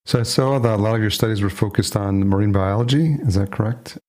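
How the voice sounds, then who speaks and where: American male podcast host, low voice, chatty and reflective interview delivery. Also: fast talker, a little mellow. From New York, United States. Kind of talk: conversational interview.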